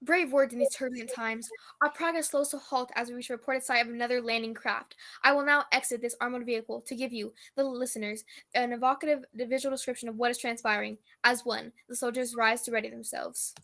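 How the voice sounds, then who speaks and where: A woman's voice: a little high, fairly even intonation, a little fast, a bit bright. An actress playing a pompous, florid female war correspondent delivering a dramatic report in a radio comedy. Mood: solemn, grandiose. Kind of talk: old-time radio news report parody.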